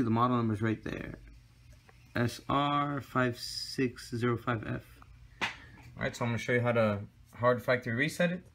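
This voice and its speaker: A man hosting a casual tech tutorial, explaining steps one by one with frequent fillers, recorded on a handheld phone camera in a room. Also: mid-range voice. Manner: relaxed, step-by-step with frequent fillers. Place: indoor room with desk and computer.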